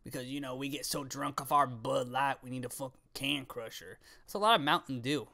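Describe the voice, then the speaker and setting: A man's voice: medium pitch, brisk, gravelly, pushed and bright. A man in his twenties giving casual, off-the-cuff reaction commentary into a close microphone, slangy and sweary with playful sarcasm. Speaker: reaction video creator. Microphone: close studio microphone on arm, with headset.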